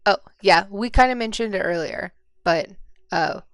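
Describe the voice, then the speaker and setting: A woman's voice: medium pitch, moderate pace, dry recording, clean studio sound. An American woman co-hosting a podcast, chatting casually about books and thinking aloud in an informal, tentative way. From Midwestern United States. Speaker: podcast co-host. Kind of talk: casual book chat.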